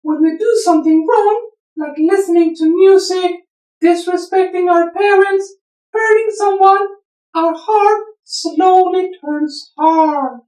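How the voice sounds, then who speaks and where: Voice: very high voice, slow pace, a little mellow, reverberant, poor audio. A performer voicing a cheerful, teacherly child puppet, explaining things simply in a children's puppet show.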